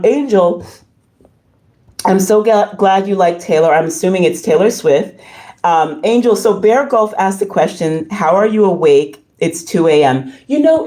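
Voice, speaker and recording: A slightly hoarse woman hosting a casual livestream, reading and answering viewer comments in a halting, effusive way. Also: on the low side, mellow. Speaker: livestream host.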